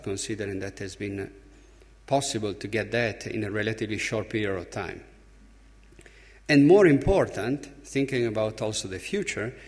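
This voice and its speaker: Man, Italian accent, conference speech, medium pitch, emphatic pauses, echoing large hall. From Italy. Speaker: speaker at a symposium opening. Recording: reverberant hall, long echo.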